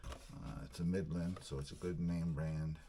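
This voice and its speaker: Male vlogger, low-pitched, folksy and casual, unboxing narration over heavy background noise. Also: steady tone, unhurried. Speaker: video channel host. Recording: clearly noisy recording with clicks.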